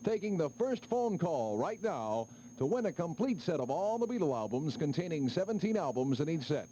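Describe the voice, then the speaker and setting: Male 1970s American pop DJ, mellow but very animated, on a muffled old AM aircheck. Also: on the high side, normal speed. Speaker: radio DJ. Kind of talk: upbeat phone-in giveaway patter on 1970s pop radio. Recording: muffled 1972 AM radio aircheck, faint noise.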